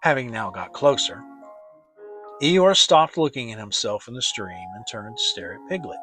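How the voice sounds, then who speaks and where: A narrator giving an expressive dramatic reading of a children's story. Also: mid-range voice, normal speed, faint music behind, rough recording. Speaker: audiobook narrator.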